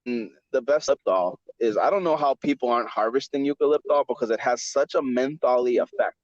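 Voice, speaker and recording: A man's voice: mid-range voice, a little fast. A man hosting a casual podcast chat, rambling with many stammered restarts and swearing with easy enthusiasm. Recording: dull dry lo-fi recording.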